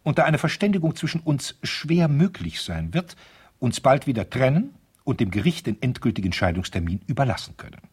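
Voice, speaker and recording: Pompous, very raspy divorce lawyer. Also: male voice, medium pitch, sing-song, a little fast. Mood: officious, smug. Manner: formal, officious, legalistic, pointedly emphatic. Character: pompous, pedantic male divorce lawyer, stiffly indignant. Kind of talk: 1970s German radio play, letters read aloud.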